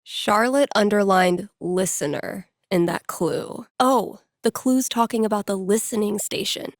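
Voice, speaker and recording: A woman's voice: medium pitch, no room sound, lightly acted. An actress playing a wry, playful young woman DJ in a video game, musing to herself in a dry, conversational way. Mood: musing, curious. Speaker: video game character, radio DJ and record store worker. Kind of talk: video game voice lines, casual inner monologue.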